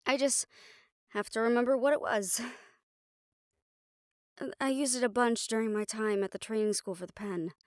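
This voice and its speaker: A woman's voice: on the high side, steady tone, moderate pace, long pauses, a little mellow, clean tone, no room sound, studio quality, lightly acted. A woman playing a stern, exasperated young siren banshee spellcaster in a roleplay audio drama, talking conversationally and emphatically. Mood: thoughtful. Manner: conversational, sighing, chiding, emphatic.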